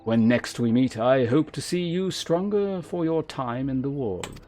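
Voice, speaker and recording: A man voicing a stern, demanding lancer guildmaster from a fantasy game, speaking in a formal, measured, commanding manner. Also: mid-range voice, expressive, a little mellow, faint music behind, pitched above the performer's own voice, lightly acted. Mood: stern, instructive. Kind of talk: voiced fantasy game dialogue.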